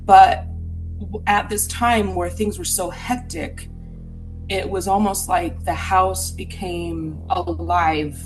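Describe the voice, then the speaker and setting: A woman from Utah with a somewhat low voice tells a personal ghost story for a podcast. She sounds earnest and candid, reflective as she recalls it, with soft music faintly behind her. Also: steady tone, takes it slowly, poor audio.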